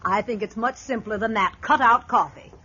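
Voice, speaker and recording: An actress playing a chatty, prying neighbor, husky and fussy, well-meaning with advice, in 1940s radio drama style. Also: female voice, a little high, normal speed, sharp tone. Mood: busybody cheer. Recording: muffled old radio recording.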